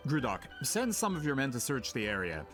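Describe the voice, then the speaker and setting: Polite but driven male expedition leader for a video game, theatrical, hugely varied pitch, faint music. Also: wildly swooping pitch, fairly quick, fairly bright tone. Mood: focused, commanding.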